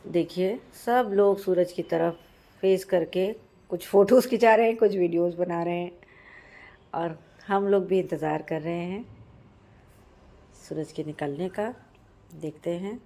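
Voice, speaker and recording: A hoarse woman in her thirties from central India, narrating a vlog outdoors on a rooftop and explaining the customs with devotion. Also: lowish voice, unhurried, long pauses, warm tone. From Madhya Pradesh, India. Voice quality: husky. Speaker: vlogger.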